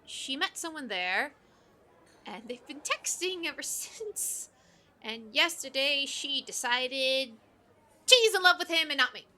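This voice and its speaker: A woman plays a persuasive young woman talking to her best friend in an audio drama. The voice is high and extremely bright. She speaks casually, coaxing and restless. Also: lively intonation, takes it slowly, heavily acted. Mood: hurt.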